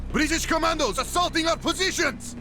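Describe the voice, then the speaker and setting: An actor playing a frantic Soviet soldier, a man shouting in panic in a video game battle. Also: extremely high pitch, fairly quick. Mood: frantic, urgent. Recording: loud music and gunfire over voice.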